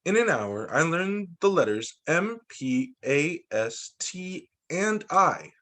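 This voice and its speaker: A man reading a picture book aloud to children as a teacher, in an expressive, dramatizing way. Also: fairly high pitch, slow. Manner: expressive, dramatizing quoted lines.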